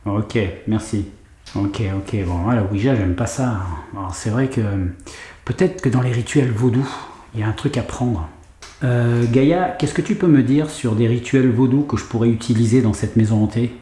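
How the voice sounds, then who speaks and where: A man's voice: medium pitch, normal speed, mellow, echoey room. A man with a head cold talking hesitantly to camera as he investigates an old house, with many fillers. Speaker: paranormal investigator vlogger. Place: large room in an old house, indoors.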